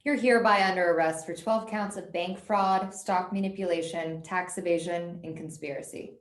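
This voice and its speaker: A woman playing a no-nonsense FBI special agent in her thirties, official and deadpan, on a roomy video call. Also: on the low side, moderate pace, close to an everyday voice. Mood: stern, deadpan. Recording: echoey room on video call.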